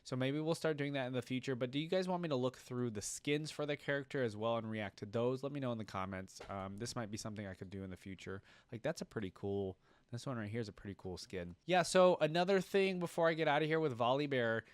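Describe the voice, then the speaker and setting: A young man gives casual, thinking-aloud commentary as a video host. His voice sits at a medium pitch, and the sound is dry, with little room around it. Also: quick pace, clear, resonant tone, no room sound. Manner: informal, musing aloud.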